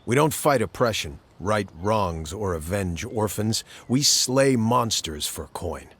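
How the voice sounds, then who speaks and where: A man's voice: lowish voice, expressive, takes it slowly, fairly bright tone, husky, exaggerated voice. A man playing a world-weary, blunt monster-hunting mercenary in a fantasy game, laconic and matter-of-fact. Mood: blunt, sardonic. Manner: laconic, matter-of-fact, clipped colloquial phrasing. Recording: background music under the dialogue.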